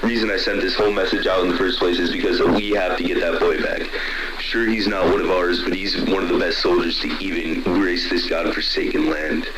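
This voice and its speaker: Gruff army commander, acted by a man, deep voice, commanding and profane, horror audio drama, loud crowd-like noise behind. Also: low-quality recording. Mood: impassioned, stubborn. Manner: blunt, commanding, swearing. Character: gruff, determined military commander rallying troops to rescue a soldier. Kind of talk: radio message acting in audio horror.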